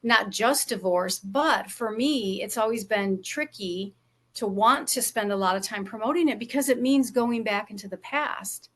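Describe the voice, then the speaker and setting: A woman interviewing in a casual, chatty and expressive way, with emphatic phrasing. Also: mid-range voice, normal speed. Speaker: interviewer and memoir author.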